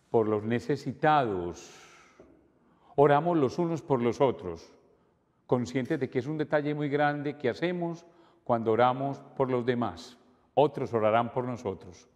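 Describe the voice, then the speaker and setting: An older priest leads Mass in a calm, measured voice of middle pitch. His prayers carry a long reverb through the church. The delivery is steady and liturgical. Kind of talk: celebrating Mass. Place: church altar, indoors.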